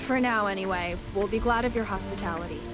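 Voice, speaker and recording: Practical, mid-pitched woman companion, acted over music. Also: quick pace, natural voice, rough recording. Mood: reserved. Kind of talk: video game cutscene acting.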